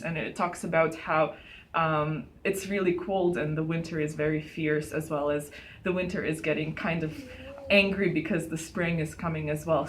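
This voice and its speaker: A young woman artist speaking in an interview at a gallery, explaining her artwork with frequent pauses and fillers. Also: lowish voice, slight background noise. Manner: hesitant, many ums and uhs. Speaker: exhibiting artist. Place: art gallery, indoors.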